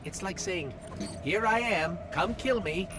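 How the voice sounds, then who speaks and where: A man's voice: sits high, lively intonation, unhurried, over loud music. A man voicing a small, chatty robot companion in a video game, confident and wry in a conversational way. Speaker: companion character.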